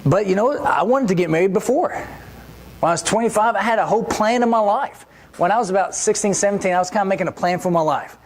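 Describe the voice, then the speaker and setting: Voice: mid-range voice, fast. Conversational preacher asking rhetorical questions. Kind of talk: sermon. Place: church.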